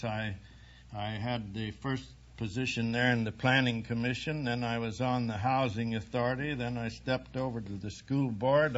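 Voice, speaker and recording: A man's voice: medium pitch, a bit bright. A middle-aged man from Texas answering conversationally as a contestant on a radio quiz show. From Texas, United States. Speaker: quiz contestant, local government official. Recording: muffled vintage 1940s radio recording.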